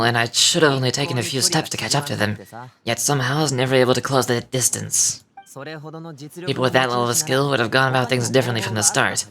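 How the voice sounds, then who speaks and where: A man voicing an energetic, sharp young guard and dancer in a video game, speaking casually and directly. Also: mid-range voice, close to an everyday voice.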